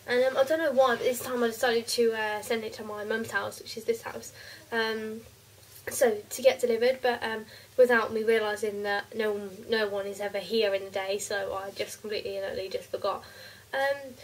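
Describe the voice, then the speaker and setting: Croaky teenage girl with a cold. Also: a little high, steady tone, moderate pace, recorded from a distance, a little hiss or hum, low-quality recording. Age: teens. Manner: chatty, rambling with many ums. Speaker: beauty vlogger. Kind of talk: casual makeup haul chat. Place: bedroom, indoors.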